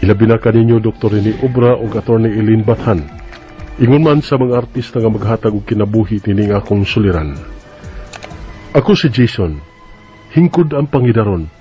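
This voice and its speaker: A man's voice: medium pitch, mellow, highs cut off, close to an everyday voice. An actor playing a worried, resigned husband and father in an earnest radio drama. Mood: solemn narrating. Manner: earnest, hesitant. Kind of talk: radio drama acting with first-person narration.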